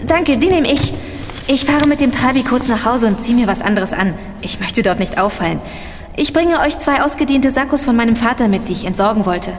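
A woman's voice: a little high, animated, fairly quick, slight background noise, natural voice. A woman playing a curious, sympathetic young sleuth in a German audio drama. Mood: decisive. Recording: long hall reverb, faint music.

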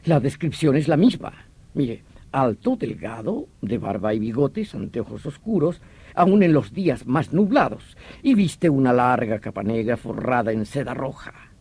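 An actor playing an excitable, irritable French police commissioner in an old radio drama, emphatic and condescending. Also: male voice, medium pitch, measured pace, gravelly, husky, exaggerated voice. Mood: insistent.

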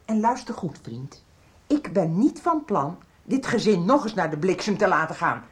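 A woman's voice: fairly low pitch, sing-song, moderate pace. An actress playing a strict, exasperated middle-aged mother in a radio soap, scolding and commanding. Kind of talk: 1990s Dutch radio soap acting.

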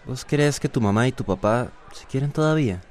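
A man's voice: medium pitch, animated, a little fast, darkish tone, natural voice. A man playing an earnest, considerate teenage boyfriend in a radio drama, with a Costa Rican accent.

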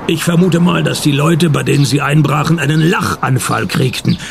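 A man playing a dry, world-weary private detective in a radio play, deadpan and sarcastic. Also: fairly high pitch, moderate pace, gravelly, background noise. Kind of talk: first-person radio detective narration and dialogue.

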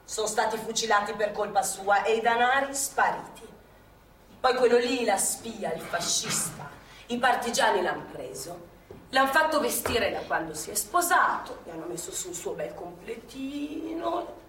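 A brisk old partisan courier. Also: female voice, a little high, lively intonation, a bit bright, slight background noise. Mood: grim. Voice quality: brisk, storytelling, teasing. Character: elderly woman, former partisan courier, brisk and knowing. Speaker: stage actress. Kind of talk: stage play acting. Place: theatre stage. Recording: roomy theatre stage from far off.

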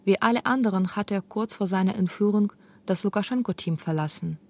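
A woman's voice: medium pitch, a little fast. A woman reading scripted narration for a radio documentary. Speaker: narrator and author of a radio feature.

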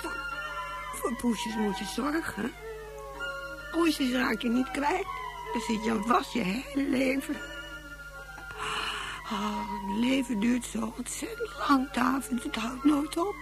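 A woman's voice: high voice, lively intonation, takes it slowly, creaky, long pauses, music in the background, heavily acted, rough recording. An actress playing a drunken, anxious mother in a science-fiction radio play, rambling and clinging. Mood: weary, tearful. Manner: rambling, repetitive, slurred and dramatic.

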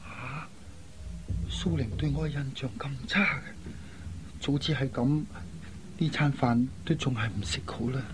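A man's voice: mid-range voice, measured pace, pauses often, noisy background, lightly acted. An actor playing a shy, honest, lovelorn young man in a Cantonese radio drama, speaking earnestly and with restraint. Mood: awkward, bashful. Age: young adult.

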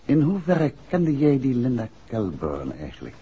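An urbane middle-aged gentleman detective in an old radio drama. The voice is very husky and moves in lively swings, with courteous, dryly amused delivery. The sound is dull and aged. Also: medium pitch, normal speed, exaggerated voice. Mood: relaxed, amused. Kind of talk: 1960s Dutch radio play acting. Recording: muffled old 1960s radio recording.

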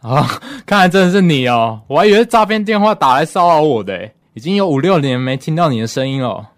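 A man's voice: a little high, big pitch swings, quick pace. A man acting a friendly, polite graduate student in a conversational radio drama. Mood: teasing, casual. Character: friendly male graduate student, courteous older schoolmate.

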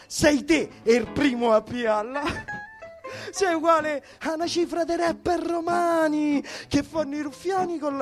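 A man reciting rap-like poetry live with emphatic, mocking declamation over plucked guitar. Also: high-pitched. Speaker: spoken-word poet performer. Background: plucked guitar music.

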